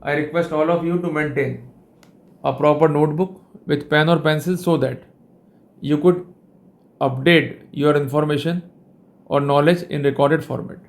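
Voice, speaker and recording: A man with an Indian accent giving a formal instructional lecture on his own, in deliberate, measured phrases with short pauses. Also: fairly high pitch, steady tone. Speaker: legal educator presenting a lecture.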